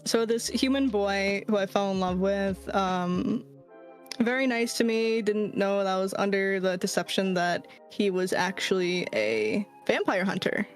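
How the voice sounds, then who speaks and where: A woman with a Boston accent talks loosely and chattily at a middle pitch, piling up fillers and the odd curse as she spins a backstory. Soft music plays faintly underneath her streaming mic. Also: a little slow. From near Boston, US East Coast. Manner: chatty, full of "like", swears casually. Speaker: guest, VTuber. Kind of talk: casual chat, telling her character backstory.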